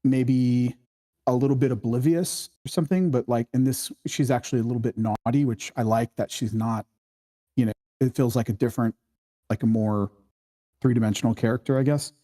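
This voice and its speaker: Mellow-voiced man thinking aloud. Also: medium pitch, steady tone. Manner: halting, informal, thinking aloud. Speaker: script workshop participant giving feedback. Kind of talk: casual spoken critique.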